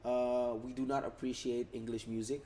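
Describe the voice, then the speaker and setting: A man's voice: medium pitch, moderate pace, a little hiss or hum. A young man from the Netherlands, a music artist chatting casually in a podcast interview, often repeating and restarting his words. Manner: relaxed, repeats words and restarts, many fillers.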